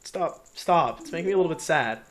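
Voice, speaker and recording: A man giving casual video game commentary as the host, playful and often pausing to find his words. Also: a little high, expressive, crowd noise. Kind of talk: casual Let's Play commentary.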